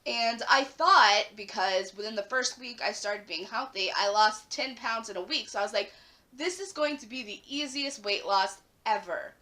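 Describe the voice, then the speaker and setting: Raspy-voiced chatty woman with a bright tone. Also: fairly high pitch, normal speed. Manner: chatty, lots of "like" fillers, rising emphasis. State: hoarse after flu, coughing. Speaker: vlogger. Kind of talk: casual talk to camera.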